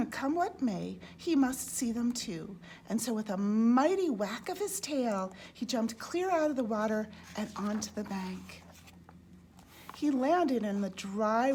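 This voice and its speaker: A woman reads a picture book aloud for children. Her voice is a little high and her delivery is slow and expressive. There is audible noise behind her. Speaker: read-aloud storyteller. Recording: clearly noisy background.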